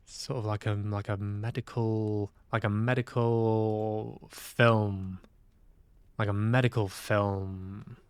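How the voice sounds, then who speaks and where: Slow-paced man, clean close sound. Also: fairly low pitch, flat delivery, clear, resonant tone, no echo. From Manchester, UK. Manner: hesitant, musing aloud to himself. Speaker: livestream host. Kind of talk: casual livestream chat while playing games.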